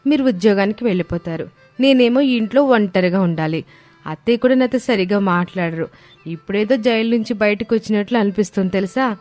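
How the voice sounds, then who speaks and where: A woman voicing a polite, eager young Telugu wife in an animated moral story. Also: medium pitch, no room sound, natural voice.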